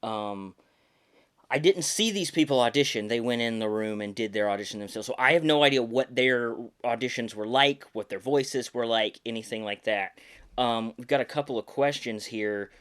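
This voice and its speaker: A man's voice: mid-range voice, normal speed, a bit bright, smooth. A man in his thirties hosting a podcast, telling behind-the-scenes stories casually with many ums and restarts. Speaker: podcast host, puppeteer.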